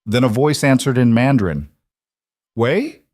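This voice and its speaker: A man narrating a dramatic story in a steady, scripted storytelling manner. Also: on the low side, moderate pace, dry recording, clean studio sound.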